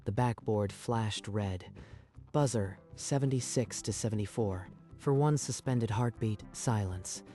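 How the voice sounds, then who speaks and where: Deep, mellow male dramatic narrator. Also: unhurried, faint music behind. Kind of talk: dramatic scripted story narration.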